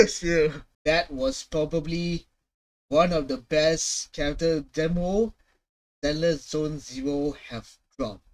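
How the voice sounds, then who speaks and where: A man's voice: fairly high pitch, slow pace, poor audio. A young man giving casual, emphatic and slangy reaction commentary as a video host. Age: young adult. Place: small room at home.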